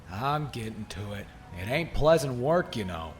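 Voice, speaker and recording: Low-voiced weary henchman. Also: sing-song, slow pace. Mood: reluctant. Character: weary, reluctant young henchman with a conscience. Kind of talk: audio drama acting.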